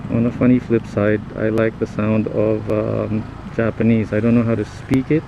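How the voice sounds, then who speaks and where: A man from the Philippines, a native Tagalog speaker, rambles casually and reflectively in halting phrases as a moto vlogger riding with a lav mic on his helmet. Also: lowish voice, level tone, gravelly, dull audio. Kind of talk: casual rambling vlog monologue. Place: outdoors, riding a motorcycle in traffic. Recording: helmet lav mic, loud traffic noise. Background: motorbike engine and road traffic noise.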